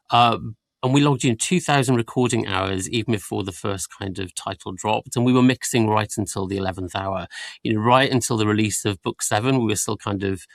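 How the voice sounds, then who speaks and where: A man with a fairly low voice answers questions in a panel interview. He explains things articulately, with casual filler phrases. The sound is dry, with little room in it. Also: no echo. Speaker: audiobook production team member interviewed as a guest.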